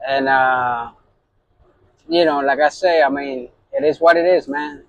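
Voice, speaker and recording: A man's voice: medium pitch, a little slow, dull audio. A man from Puerto Rico, a truck driver, talking casually to his phone in a rambling way full of fillers and restarts. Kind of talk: casual vlog talk to camera.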